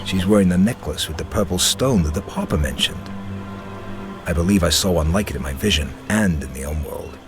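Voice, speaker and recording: A man voices a menacing inquisitor with a very low voice. He is cold, measured and commanding, as in a dark video game, with music clearly playing behind him. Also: natural voice. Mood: measured, serious. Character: stern, menacing male inquisitor on a case.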